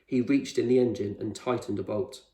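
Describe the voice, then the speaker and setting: A man's voice: on the low side, fairly even intonation, normal speed, dark tone. A man reading a children's novel aloud as scripted narration, like a teacher to a class. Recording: roomy echo, distant microphone.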